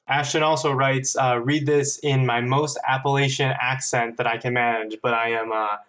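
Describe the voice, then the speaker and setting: A man chatting and telling stories casually as a podcast guest, animated, joking and self-deprecating. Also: mid-range voice, normal speed. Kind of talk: casual chat and storytelling.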